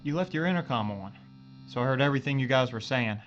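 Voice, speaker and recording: An actor playing a loyal, joking spaceship engineer in a science-fiction audio drama, with a casual, folksy manner. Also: male voice, medium pitch, lively intonation, fast talker, background noise, natural voice. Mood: matter-of-fact. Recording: dull lo-fi sound, faint music.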